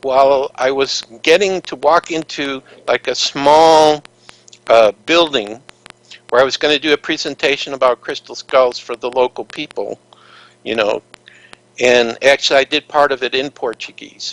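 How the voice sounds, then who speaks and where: A man's voice: medium pitch, fairly even intonation, normal speed, a bit bright, low-quality recording. A man being interviewed, telling long stories in a rambling, earnest way with many fillers and restarts. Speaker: interview guest, author. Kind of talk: casual interview storytelling.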